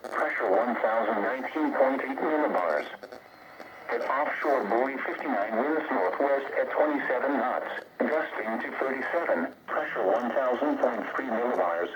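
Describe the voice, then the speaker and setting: Automated male weather announcer, even cadence through endless figures, caught off a noisy radio. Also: mid-range voice. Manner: steady list-like reading of figures. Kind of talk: automated weather observation readout.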